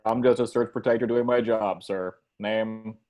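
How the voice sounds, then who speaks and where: A man playing an officious, unflappable security guard in a screenplay reading, with a flat procedural manner. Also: on the low side, normal speed, soft background music, natural voice.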